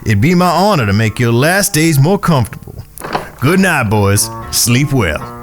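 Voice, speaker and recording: An actor playing a smug, corrupt Old West sheriff with a folksy drawl and a taunting manner in an audio drama. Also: male voice, mid-range voice, big pitch swings, a little slow, over music. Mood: mocking, amused. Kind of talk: western audio drama acting.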